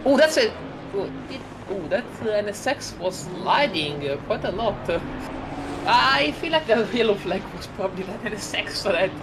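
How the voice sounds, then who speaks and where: A woman's voice: mid-range voice, animated, normal speed. A woman with an Italian accent giving chatty live play-by-play commentary on a sim race, with many fillers and restarts. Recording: loud racing car engine noise behind. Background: loud game car engines.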